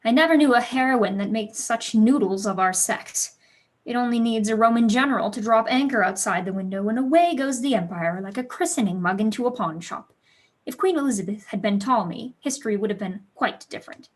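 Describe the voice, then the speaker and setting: High-pitched, headstrong, precocious schoolgirl played by a woman. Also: lively intonation, brisk. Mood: impassioned. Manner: eager, argumentative, eloquent. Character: precocious, passionate teenage girl pupil, witty and headstrong. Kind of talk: staged reading of a period play.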